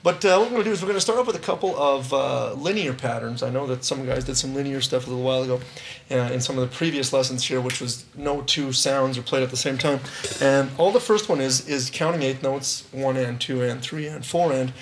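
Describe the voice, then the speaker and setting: A man's voice: mid-range voice, fairly quick, a little hiss or hum. A man in his thirties teaching a live drum lesson on a studio stage, upbeat, counting rhythms aloud, and losing his voice.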